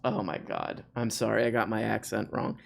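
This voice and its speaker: A man's voice: lowish voice, normal speed, vocal fry. A man hosting a live call-in stream, chatting casually with callers and joking with mock outrage. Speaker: live show host taking callers.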